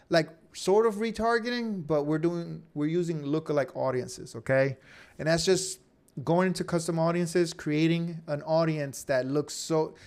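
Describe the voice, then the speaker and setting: Man teaching casually, slightly higher-pitched voice. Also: clear, resonant tone. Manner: conversational, repeatedly checks in with "okay?" and "right?". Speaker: online course instructor. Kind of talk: informal screen-share tutorial.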